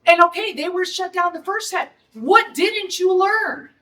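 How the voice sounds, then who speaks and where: Brassy Detroit woman, high and distant. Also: unhurried, sharp tone. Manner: brassy, blunt and indignant. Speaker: restaurant owner. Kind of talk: press conference statement. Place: restaurant.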